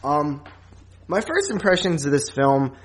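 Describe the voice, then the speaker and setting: A man co-hosting a podcast, talking casually about films in a rambling, halting way. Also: a little high, very animated, a little hiss or hum. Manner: rambling, halting, filler-heavy. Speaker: podcast co-host. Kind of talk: casual movie discussion.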